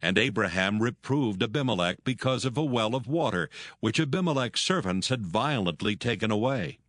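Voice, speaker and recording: A man's voice: fairly low pitch, expressive, normal speed, fairly bright tone, no room sound, clean studio sound. A man narrating scripture as a scripted reading.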